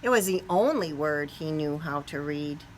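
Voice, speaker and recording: A woman reading a picture book aloud to young children expressively, pausing to put questions to them. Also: low voice, slight background noise. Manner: animated, asks the young listeners questions.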